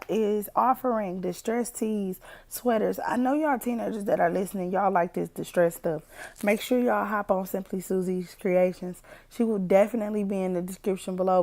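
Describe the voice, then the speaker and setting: A young woman hosting her own podcast while sick, her voice hoarse and partly gone, chatting folksily with listeners she calls family. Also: mid-range voice, mellow. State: partially lost voice from sickness. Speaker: podcast host. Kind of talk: casual motivational podcast talk.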